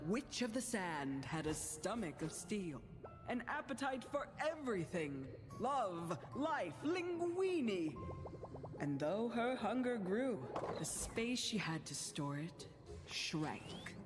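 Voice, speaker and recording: A woman playing a mysterious, wry storyteller in a video game, narrating cautionary fables in a measured, theatrical way. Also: lowish voice. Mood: ominous, wry. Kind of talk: dramatic scripted game narration.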